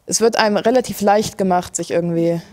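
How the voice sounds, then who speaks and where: A woman's voice: mid-range voice, fairly quick, darkish tone. An eighteen-year-old woman from Germany with a German accent, answering informally in an interview as a volunteer. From Leipzig, Germany.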